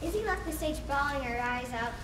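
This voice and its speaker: A woman's voice: high-pitched, animated, brisk, fairly bright tone. A woman reading scripted story narration as the narrator of an amateur show. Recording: echoey, very noisy room from far off.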